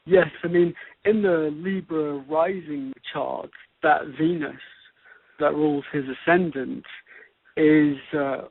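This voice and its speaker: Husky, creaky man on a phone-like line. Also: on the high side, fairly even intonation, no echo. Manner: halting, deliberate, repeats and pieces phrases together. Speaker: co-host, astrologer. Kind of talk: conversational astrology commentary. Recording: tinny, phone-like radio-show audio.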